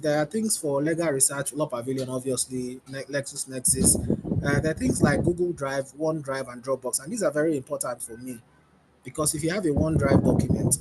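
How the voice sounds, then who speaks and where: A man, demonstrating software on a webinar panel, loosely repeating himself as he goes. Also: fairly high pitch. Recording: loud background noise.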